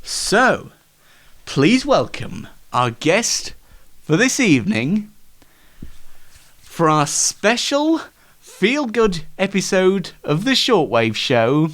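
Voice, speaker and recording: A man hosting a comedy show in a casual, self-deprecating and halting way. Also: high voice, very animated, a bit bright. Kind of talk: casual, scripted comedy interview and chat.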